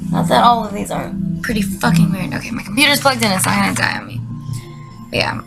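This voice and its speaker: Sardonic young woman, creaky voice over music. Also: mid-range voice, quick pace. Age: 20s. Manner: sweary, sardonic, rambling and exasperated. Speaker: video creator. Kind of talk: casual comedic commentary with read-out messages.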